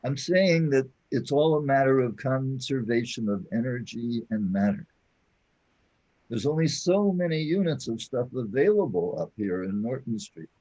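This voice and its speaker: A man acting a weary, matter-of-fact companion in a sci-fi audio drama, speaking in a deliberate, explanatory way. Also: medium pitch, lively intonation, warm tone, breathy edge, muffled recording. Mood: patient, explaining.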